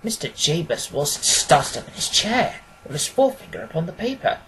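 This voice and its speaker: A woman's voice: deep voice, very animated, fairly quick, fairly bright tone, slight background noise, poor audio. A woman reading a detective story aloud as an amateur audiobook narrator. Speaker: audiobook reader.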